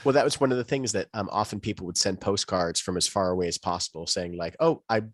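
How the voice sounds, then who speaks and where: Male podcast co-host, low register, dry close sound, loose rambling delivery with trailing asides. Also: quick pace, no room sound. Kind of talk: casual podcast discussion.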